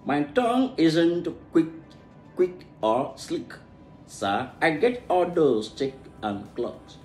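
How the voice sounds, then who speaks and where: A man from Nigeria with an Igbo accent, a native Igbo speaker, reads a tongue-twister storybook aloud, haltingly, stumbling over and repeating words. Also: fairly high pitch, big pitch swings, darkish tone. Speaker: English pronunciation learner. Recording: echoey room, music behind.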